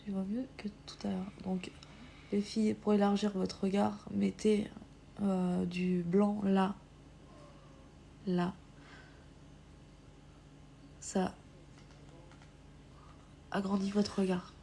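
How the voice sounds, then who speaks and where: Slow, gravelly woman with a cold. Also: mid-range voice, level tone, dark tone, slight background noise. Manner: hesitant, many filler pauses; drops to a whisper while applying. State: has a cold. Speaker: makeup livestreamer. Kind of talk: live makeup tutorial.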